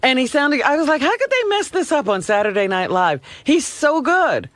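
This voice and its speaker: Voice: high-pitched, big pitch swings, moderate pace, a bit bright, no echo. A radio co-host in casual banter, chipping in with short teasing interjections. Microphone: close studio radio microphone.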